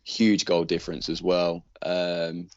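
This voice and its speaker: A man's voice: low voice, completely flat delivery, slow pace, no echo, highs cut off. A man giving laid-back, casual commentary as a podcast panellist. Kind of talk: casual commentary on league standings.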